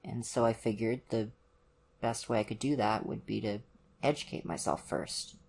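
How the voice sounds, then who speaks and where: A performer playing an awkward, caring young college boyfriend in an ASMR roleplay, speaking hesitantly and gently to the listener. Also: medium pitch, fairly even intonation, creaky, a little hiss or hum, lightly acted. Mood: awkward, tentative. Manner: hesitant, gentle, awkward, many ums and pauses. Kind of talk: ASMR roleplay, one-sided conversation with the listener.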